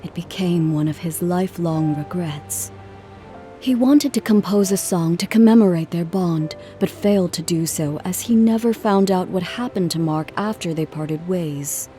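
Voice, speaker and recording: An actress playing a gentle, earnest traveling musician in a video game, speaking politely and in measured phrases. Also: female voice, fairly low pitch, soft background music, natural voice. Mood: wistful, earnest. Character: gentle, earnest female traveling musician, composed but careworn. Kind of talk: video game cutscene acting.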